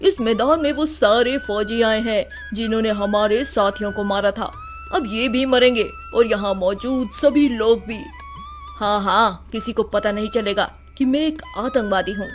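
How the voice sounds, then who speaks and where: A woman's voice: a little high, normal speed, voice pushed down, natural voice. Woman voicing a sinister male villain, music behind. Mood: menacing, gloating. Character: menacing male terrorist plotting revenge in secret. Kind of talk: animated moral story voice acting.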